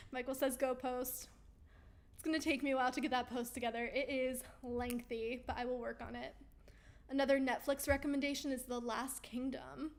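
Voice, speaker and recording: A woman's voice: high voice, level tone, moderate pace. A woman hosting a weekly live question-and-answer stream, chatting casually and reading viewer comments aloud while she loses her voice. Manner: chatty and upbeat, with frequent ums and easy laughs. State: losing her voice.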